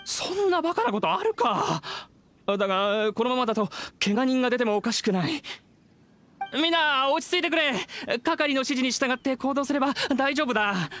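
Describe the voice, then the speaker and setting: A man voicing a gruff, blunt young event organizer in an anime game. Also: very high-pitched, expressive, moderate pace, fairly bright tone, exaggerated voice. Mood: alarmed, commanding. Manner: blunt, rough casual speech.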